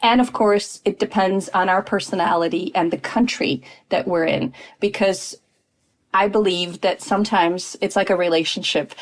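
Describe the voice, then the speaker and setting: A woman's voice: medium pitch, fairly even intonation, normal speed. A middle-aged woman who grew up partly abroad and has Polish as her first language, speaking thoughtfully as a guest in a conversational podcast interview, with frequent ums and uhs. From Poland. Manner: thoughtful, earnest, many fillers. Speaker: guest, expat adjustment coach.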